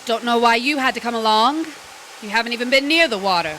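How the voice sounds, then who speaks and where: Woman playing a proud, bright-voiced restless wife. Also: on the high side, lively intonation, fast talker, a little hiss or hum. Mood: curt. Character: proud, restless young wife of a diner owner. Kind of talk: stage drama performed online.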